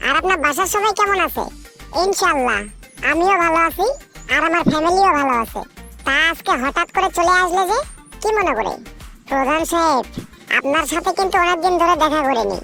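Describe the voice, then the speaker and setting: A performer voicing a cheerful, polite talking bird in a cartoon, with a childlike high-pitched voice. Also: creaky, pushed and bright, heavily acted. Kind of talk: cartoon dialogue.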